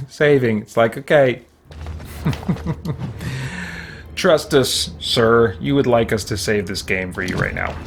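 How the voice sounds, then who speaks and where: A man's voice: mid-range voice, takes it slowly, vocal fry, long pauses, soft background music. A man chatting casually on a live stream while playing a game, with a playful, folksy manner. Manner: playful, mild folksy exclamations. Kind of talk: casual live-stream chat and gameplay commentary.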